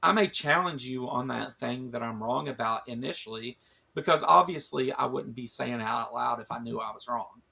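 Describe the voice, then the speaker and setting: A man's voice: medium pitch, normal speed, creaky, bright, forceful tone, breathy edge. A man co-hosting a casual livestream chat, rambling with lots of restarts and fillers, losing his voice. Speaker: show co-host.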